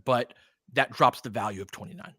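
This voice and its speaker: A man's voice: medium pitch, brisk, fairly bright tone, slightly rough, no echo. Man, play-by-play host, mid-range voice, informal, clipped calls and chatty asides, dry close-mic sound. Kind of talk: casual fan livestream basketball commentary.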